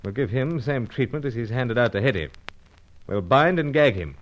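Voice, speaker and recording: An actor playing a gentlemanly, dryly understated British secret agent, with clipped old-fashioned speech, in a radio adventure serial. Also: male voice, mid-range voice, lively intonation, fairly quick, dull audio, lightly acted. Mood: composed, decisive.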